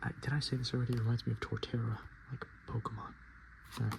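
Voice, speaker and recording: A man speaking softly and often whispering in a casual ASMR style, with hesitant, halting, murmured delivery. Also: mid-range voice, little pitch movement, vocal fry. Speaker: ASMR video host. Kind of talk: soft-spoken and whispered ASMR, casual commentary. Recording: noticeable background noise.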